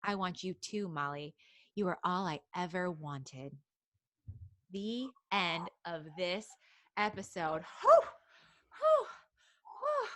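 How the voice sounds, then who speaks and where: A woman's voice: fairly low pitch, sing-song, slow, long pauses, sharp tone, no echo, studio quality. A woman hosting a live podcast, reading steamy fan fiction aloud and breaking into giggles. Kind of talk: live comedic reading and banter.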